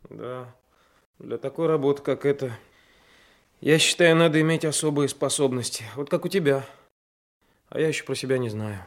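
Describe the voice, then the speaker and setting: A man's voice: mid-range voice, moderate pace, pauses often, close to an everyday voice. A male actor plays a modest young police trainee in a Soviet radio drama. His voice is of middling height. He sounds hesitant and unsure of himself. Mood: doubtful, modest.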